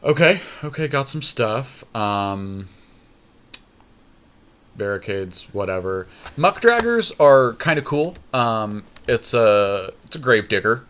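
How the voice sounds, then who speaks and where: A man's voice: mid-range voice, sing-song, slow, fairly bright tone. A man co-hosting a podcast, giving casual, informal commentary on a card game draft as he thinks aloud.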